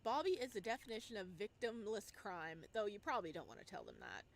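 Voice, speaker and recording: A woman doing playful, expressive gameplay commentary, slipping between reading text aloud and exclaiming in singsong asides. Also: medium pitch, quick pace, creaky, a little hiss or hum. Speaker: game streamer.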